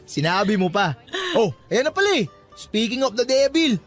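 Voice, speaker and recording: A man's voice: extremely high pitch, very animated, unhurried, faint music behind, exaggerated voice. A man with a Filipino accent playing an easygoing young gas station worker who ribs his friends in slangy radio drama talk. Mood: playful ribbing. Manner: slangy, ribbing.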